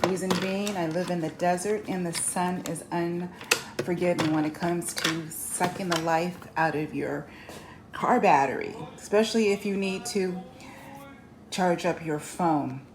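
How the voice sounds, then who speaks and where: Female product reviewer, slightly low voice, casual demo talk, noisy amateur recording with clicks. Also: measured pace.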